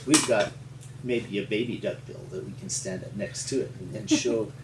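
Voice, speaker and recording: An older man from rural Montana with an American accent, telling his story in an interview in a halting, folksy, explanatory way. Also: fairly low pitch. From rural Montana, United States. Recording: roomy, distant mic with faint hum.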